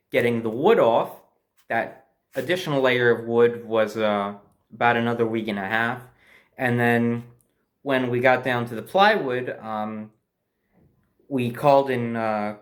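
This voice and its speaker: Male storyteller, mid-pitched voice, casual and unscripted, hesitant, backtracks to correct himself.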